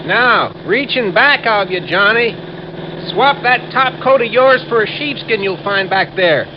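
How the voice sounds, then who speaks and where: Earnest young American agent, high-pitched, folksy, roundabout, 1950s radio drama, dull hissy recording. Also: male voice, lively intonation, a little slow. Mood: folksy explaining. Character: earnest, rambling young male insurance agent. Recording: muffled, noisy old radio recording.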